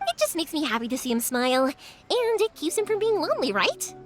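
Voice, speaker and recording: An actress playing a bubbly, caring young fireworks shop owner who talks brightly and patiently. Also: female voice, sits high, sing-song, a little fast. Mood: warm. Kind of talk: game cutscene voice acting. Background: music.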